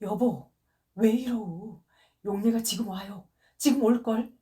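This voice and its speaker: A woman's voice: fairly high pitch, lively intonation, slow pace, warm tone, pitched above the performer's own voice. A woman voicing an anxious husband who pleads with his delirious wife in a trembling voice. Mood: pleading.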